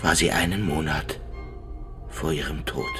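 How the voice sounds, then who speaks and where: Deep, brooding police investigator. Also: male voice, measured pace, over music. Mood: brooding, intent. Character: brooding, insistent male police investigator, quietly intense. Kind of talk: radio drama acting.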